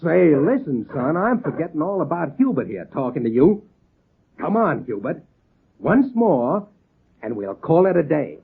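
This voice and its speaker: A man playing a patient, folksy circus seal trainer, coaxing and a little halting, in a 1930s radio serial. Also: a little high, big pitch swings, vocal fry, breathy edge, exaggerated voice. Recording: tinny, band-limited old radio recording.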